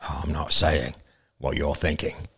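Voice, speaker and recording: A man's voice: rumbling low, little pitch movement, a little slow, creaky, bright, forceful tone, breathy edge, dry recording, clean studio sound, pitched below the performer's own voice, full character voice. A man voicing a weary, resigned clan leader with a grave, sighing manner in an audiobook story. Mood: weary, measured.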